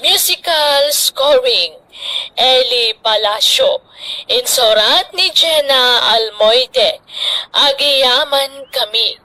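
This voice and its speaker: A woman's voice: high voice, very slow, pushed and bright, no echo, low-quality recording. A woman with an Ilocano accent announcing a radio drama in a dramatic scripted style.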